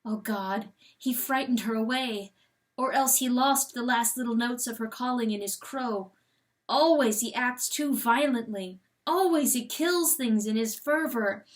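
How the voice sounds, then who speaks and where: Slow, high-voiced woman narrating dramatically. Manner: theatrical, deliberate phrasing. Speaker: audiobook reader. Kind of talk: dramatic scripted narration of a novel.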